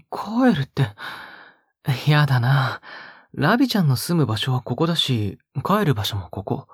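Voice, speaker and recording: Breathy, sweetly menacing young captor. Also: male voice, a little high, no room sound, studio quality, heavily acted. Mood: sweetly possessive. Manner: teasing, coaxing, talks as if to a pet. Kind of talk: intimate Japanese audio drama acting.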